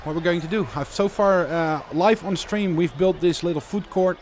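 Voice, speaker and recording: A man's voice: high-pitched, moderate pace, a little hiss or hum. A Dutch man with a Dutch accent, chatting casually through live gameplay commentary and answering viewer questions as he builds. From Netherlands. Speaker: streamer.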